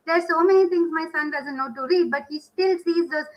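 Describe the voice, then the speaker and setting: A woman with a high voice talks as a parent on a discussion panel. She is earnest and conversational, circling back over her points with frequent "you know". The sound is dull and lo-fi, like a video call. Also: fairly quick. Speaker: parent guest on panel podcast.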